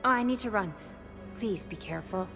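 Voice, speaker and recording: A woman's voice: medium pitch, a little fast, vocal fry, close to an everyday voice. An actress playing a caring, earnest young woman psychologist in a video game, shaken and emotional in manner. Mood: relieved, fond. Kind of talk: video game cutscene dialogue. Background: music.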